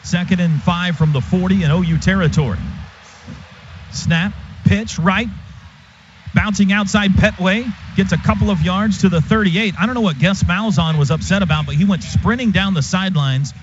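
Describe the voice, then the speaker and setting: High-voiced American man calling football on radio. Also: normal speed. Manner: clipped, vivid phrases that follow each play. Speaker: play-by-play radio announcer. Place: stadium radio booth. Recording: dull, noisy live radio broadcast.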